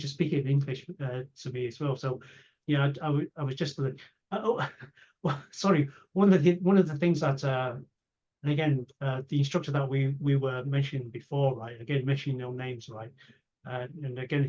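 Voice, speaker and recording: A man's voice: medium pitch, fairly even intonation, brisk, a little mellow. A muffled, lo-fi recording of a man with a mid-range voice. He is an interviewer chatting casually, often hesitating and repeating himself as he rambles toward his point. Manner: hesitant, repetitive, rambling phrasing. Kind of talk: casual chat. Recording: dull lo-fi audio.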